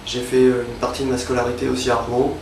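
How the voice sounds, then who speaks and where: A man's voice: mid-range voice, monotone, quick pace, vocal fry, recorded from a distance, noisy background. A young man from Normandy in France, talking casually in an interview, hesitant and full of fillers and restarts. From Évreux, Normandy, France. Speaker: interviewee, freelance illustrator. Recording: roomy, off-mic with faint ambience.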